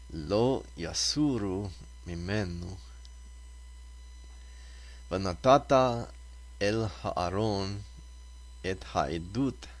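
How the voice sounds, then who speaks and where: A man reading Torah scripture aloud as a reader, deliberately, in short separated phrases. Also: deep voice.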